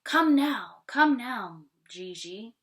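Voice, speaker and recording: A woman voicing a gentle, loving mother who coaxes and soothes her daughter in a picture-book read-aloud. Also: fairly high pitch, expressive, slow pace, pitched above the performer's own voice. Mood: calling, urging.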